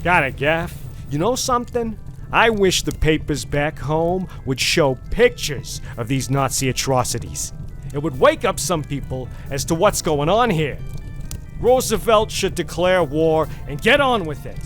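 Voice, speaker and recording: A man playing an earnest, decisive American squadron leader with a brisk, commanding manner in an adventure motion comic. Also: on the high side, sing-song, a bit bright, soft background music.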